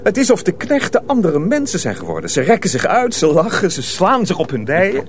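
A man's voice: high voice, wildly swooping pitch, fairly quick, noisy background. A man narrating a radio drama as a storyteller, reading scripted prose dramatically.

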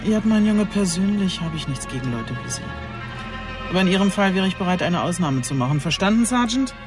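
An actress playing a dry, firm chief inspector with a formal manner in a radio crime drama. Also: female voice, lowish voice, lively intonation, fairly quick, over music, lightly acted. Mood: stern, threatening. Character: dry, authoritative female police chief inspector.

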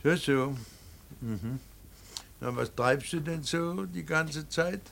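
An actor playing a stern, anguished middle-aged father in a radio drama, speaking in a deliberate, halting and emphatic way. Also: on the high side, creaky, fairly bright tone, a little hiss or hum, heavily acted. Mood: strained reproach. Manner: deliberate, halting, emphatic, swinging from muttered to pleading. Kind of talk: German radio drama acting.

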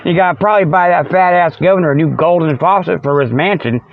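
A man's voice: on the high side, quick pace, vocal fry, no room sound. A middle-aged American man hosting a podcast, delivering a folksy, colloquial, rambling comedic monologue as casual chat. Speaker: podcast host. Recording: slightly dull lo-fi, dry sound.

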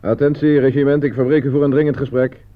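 An actor playing a brisk, impatient male army switchboard operator in a radio play. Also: medium pitch, fairly even intonation, natural voice. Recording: tinny band-limited old radio play.

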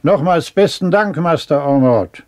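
A man's voice: on the high side, expressive, measured pace, darkish tone. An actor playing a firm, courteous officer and father in a German radio play, speaking formally.

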